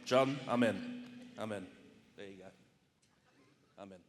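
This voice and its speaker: A man hosting a live conference event, loud and playful, repeating his lines before introducing the next speaker. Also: on the low side, a little slow. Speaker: event host. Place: conference hall with audience. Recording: hall reverb, audience noise.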